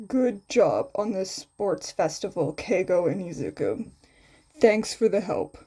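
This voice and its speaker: A woman voicing a tired, gruff man, a teacher in an anime story, in a deadpan, bored, terse manner. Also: medium pitch, a little slow, voice pushed down. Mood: bored approval.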